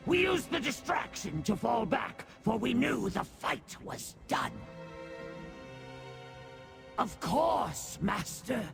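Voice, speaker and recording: A man's voice: very high-pitched, very animated, slow, vocal fry, pauses often, music in the background, heavily acted. A man voicing a tiny cursed whiskered creature in a video game, a bloodthirsty and devoted servant who speaks in pompous, theatrical, formal phrases. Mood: boastful, proud. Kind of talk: video game character acting.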